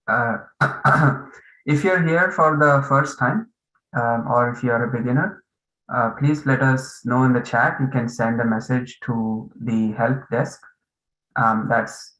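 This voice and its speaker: A man hosting an online meditation course on a video call, speaking with many fillers and pauses. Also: mid-range voice, echoey room, poor audio. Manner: hesitant, many fillers, warm welcoming tone.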